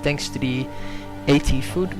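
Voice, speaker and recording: A man's voice: mid-range voice, a little slow, over music. A young Dutch-native man giving hesitant gameplay commentary, full of ums and self-corrections.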